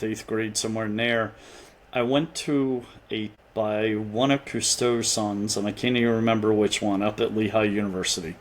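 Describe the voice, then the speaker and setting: Middle-aged Philly-accented man, podcast guest, rambling casual talk. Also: medium pitch. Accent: Philadelphia. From Pennsylvania. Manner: rambling, with frequent restarts and fillers. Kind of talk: casual interview conversation.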